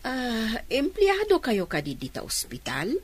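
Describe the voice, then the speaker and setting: A woman's voice: a little high, wildly swooping pitch, a little slow, background noise. A woman acting a talkative older canteen keeper in an Ilocano radio drama. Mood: chatty, teasing.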